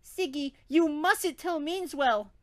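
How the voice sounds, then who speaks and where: A man's voice: very high-pitched, takes it slowly, voice pushed up. A man voicing a prim, dainty young woman with a melodramatic, earnest manner in a comic story reading. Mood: earnest, determined.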